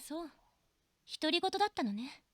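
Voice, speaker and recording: A woman's voice: sits high, big pitch swings, fast talker, no room sound. A voice actress playing a stern, no-nonsense young genius woman in a visual novel, curt and questioning. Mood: serious. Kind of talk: Japanese visual novel voice acting.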